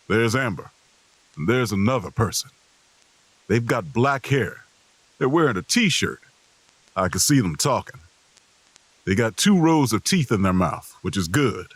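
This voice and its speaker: A queasy, worn-out traveler narrating an audio fiction road story. The voice is low and rough with a lively range, recorded very dry. The delivery is slow, weary and wry. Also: male voice, no room sound. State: queasy, groaning.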